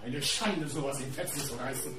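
A man's voice: mid-range voice, a little fast, lightly acted. An actor playing a fussy, money-minded male art dealer in a German radio crime drama. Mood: reproachful. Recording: roomy sound with music behind.

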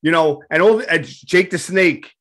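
A higher-pitched man in his fifties talks with a New York accent, full of streetwise, joking banter as he hosts. He speaks into a headset on a video call from a home studio. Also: moderate pace, fairly bright tone. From Queens, New York. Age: 50s. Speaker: host. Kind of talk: casual interview chat.

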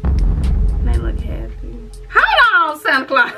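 A woman in her twenties chatting casually on camera in her bedroom, teasing and animated as she scrolls her phone. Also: sits high, slow pace, over loud music. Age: 20s. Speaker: YouTuber. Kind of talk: casual reaction vlog. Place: bedroom, indoors.

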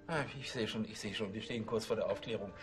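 A man playing a quick, fussy policeman. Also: on the low side, faint music behind, close to an everyday voice. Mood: officious.

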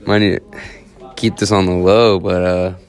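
A man's voice: deep voice, level tone, unhurried. A teenage male interviewee in casual chat, answering hesitantly with slang. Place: busy school classroom.

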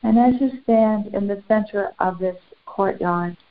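A woman leading a guided spiritual meditation in solemn, formal, deliberate phrases with long pauses. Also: mid-range voice. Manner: solemn, formal, ceremonial wording. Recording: muffled, phone-like narrow band.

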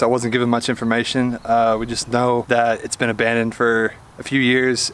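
A young man vloggs his way through an unfinished mansion, talking loosely and laughing as he goes. His voice rings around bare, echoing rooms with a long tail of reverb. Faint noise sits underneath. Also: mid-range voice. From Oklahoma. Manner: excited, slangy, laughs often. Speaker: urban explorer vlogger. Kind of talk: casual walkthrough narration. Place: large empty unfinished house, echoing rooms. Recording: very echoey empty rooms.

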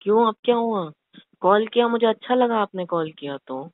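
A man's voice: sits high, lively intonation, moderate pace, dry recording, narrow, tinny audio. Young male, evasive and pleading, casual prank-call chat, close dry sound. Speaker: prank caller. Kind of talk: casual prank phone conversation.

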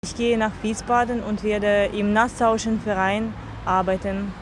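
A woman's voice: mid-range voice, little pitch movement, measured pace, noisy background. A woman from northern Russia, answering interview questions earnestly, recorded outdoors in a city. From Karelia, Russia. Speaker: cultural manager fellow.

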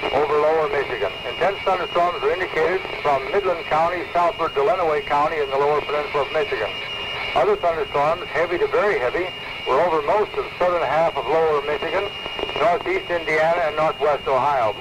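A man's voice: on the high side, noisy background. An American man working as a weather radio announcer, reading a formal scripted summary on an old 1979 tape.

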